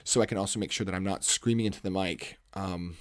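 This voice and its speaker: Male art streamer, lowish commanding salesman voice, casual rambling delivery that self-corrects, dry close-mic sound. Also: fairly even intonation, quick pace, dry recording. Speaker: art livestream host. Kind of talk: casual livestream chat while sketching. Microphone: microphone close to the face.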